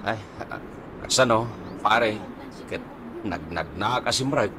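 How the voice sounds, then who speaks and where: A man's voice: on the low side, lively intonation, takes it slowly, noisy background. A man playing a hard-up, browbeaten husband in a radio comedy-drama, hesitant and complaining, with an Ilocano accent. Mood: downcast.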